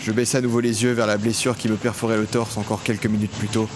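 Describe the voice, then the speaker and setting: A man's voice: on the low side, flat delivery, quick pace, background noise, natural voice. An actor playing a tormented criminal who tells his own story in a solemn, literary way in an audio drama. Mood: grave, tormented. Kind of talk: scripted audio drama narration and dialogue.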